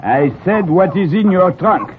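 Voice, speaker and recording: A man acting an officious, easily flustered customs officer in a 1950s radio drama, animated and blustering. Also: high-pitched, unhurried. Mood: stern. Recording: muffled, noisy 1950 radio recording.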